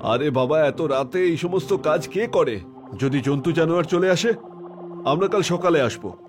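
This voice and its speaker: A man's voice: on the high side, moderate pace, natural voice. An actor playing a cautious but indulgent young man in a horror story drama. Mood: reluctant, indulgent. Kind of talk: dubbed horror story dialogue. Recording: loud music over the dialogue.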